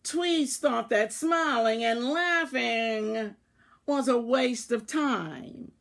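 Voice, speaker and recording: A woman reading a picture book aloud for children in a measured way, pausing to address young listeners. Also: fairly high pitch, gravelly, a bit bright. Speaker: volunteer children's story reader.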